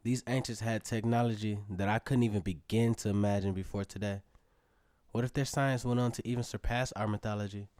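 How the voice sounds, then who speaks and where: A man's voice: on the low side, steady tone, a little fast, a little mellow, no room sound, natural voice. A man playing a curious, adventurous young storyteller, narrating a scripted audio drama in the first person. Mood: intrigued, musing.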